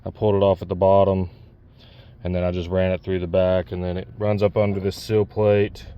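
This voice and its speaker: Low-voiced man giving a casual hands-on walkthrough. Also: level tone, fairly quick, mellow, muffled recording, slight background noise. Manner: plain-spoken, pointing things out as he works. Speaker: DIY vlogger demonstrating a truck CB radio install.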